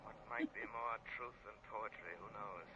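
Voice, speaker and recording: An actor playing a commanding, self-assured, mysterious crime-fighter in an old radio adventure. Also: male voice, lowish voice, normal speed, natural voice. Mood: grave, reasoning. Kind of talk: 1940s radio adventure acting. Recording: muffled, noisy old radio recording.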